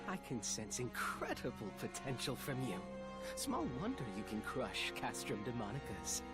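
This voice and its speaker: An actor playing a smug, polite and cruel young sorcerer villain in a video game, with theatrically courteous condescension. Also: male voice, mid-range voice, huge pitch swings, normal speed. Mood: smug, intrigued. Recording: loud game music behind voice.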